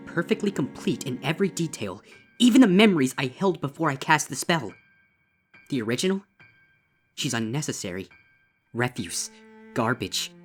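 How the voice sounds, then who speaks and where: A man's voice: medium pitch, lightly acted. Traumatized young female unicorn, read by a man, grave and desperate horror narration with music underneath. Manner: grave, deliberate, intense. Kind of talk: dramatic first-person horror story reading.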